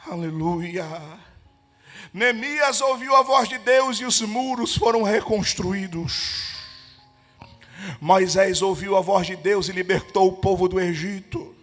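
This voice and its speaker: A male evangelist leading a Pentecostal prayer, chanting, speaking in tongues and rising to fervent shouts. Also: sits high. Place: church. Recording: reverberant church hall with music.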